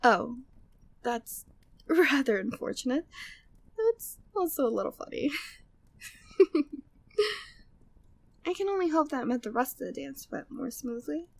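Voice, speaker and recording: High-voiced flirty, devoted maid played by a woman. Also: big pitch swings, moderate pace, heavily acted. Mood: amused, gentle. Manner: formal, genteel phrasing, breathy sighs, teasing. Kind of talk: intimate ASMR roleplay, scripted monologue.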